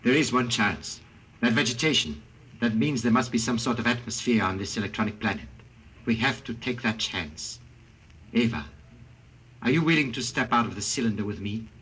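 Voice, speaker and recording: A man's voice: medium pitch, lively intonation, moderate pace, a bit bright, close to an everyday voice, low-quality recording. A man playing an earnest young explorer. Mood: hushed. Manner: earnest, tender with his wife. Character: devoted young male explorer, husband to Ava. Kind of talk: 1950s radio drama acting. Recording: hissy old radio broadcast.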